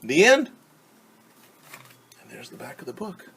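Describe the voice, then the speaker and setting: A man teaching young children through a story, reading aloud and explaining gently and patiently with questions. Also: high voice, very animated, unhurried, slightly rough.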